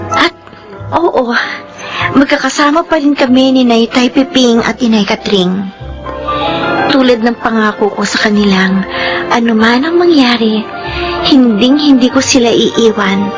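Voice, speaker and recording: A woman's voice: on the high side, lightly acted. An actress playing a determined young college student, narrating her life reflectively in a Filipino radio drama. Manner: earnest, reflective.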